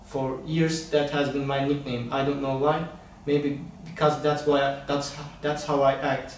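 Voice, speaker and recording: A young man answering interview questions calmly and reflectively, telling his own story. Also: medium pitch, a little slow, a little hiss or hum. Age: young adult. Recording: very echoey room, distant mic, faint ambience.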